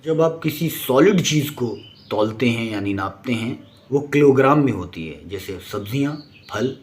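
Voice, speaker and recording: A man's voice: medium pitch, animated, moderate pace. A man narrating a scripted explainer in an emphatic, didactic manner. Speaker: presenter.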